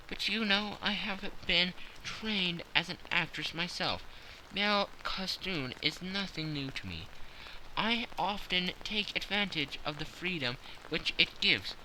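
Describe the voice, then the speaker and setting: Guy putting on a clever young actress, poised, kinda teasing, voice raised up high and bright. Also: a little hiss or hum, full character voice, low-quality recording.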